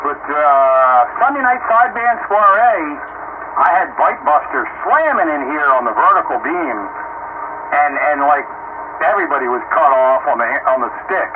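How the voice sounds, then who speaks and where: Higher-voiced man on a static-filled radio. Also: lively intonation, moderate pace. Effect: two-way radio. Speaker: amateur or CB radio operator. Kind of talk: casual radio chat. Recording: narrow tinny radio transmission, loud static.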